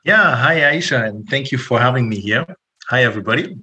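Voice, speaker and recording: A man from northern Germany, answering interview questions in a relaxed, rambling way with many ums and uhs. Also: medium pitch, brisk, poor audio. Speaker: podcast guest, entrepreneur.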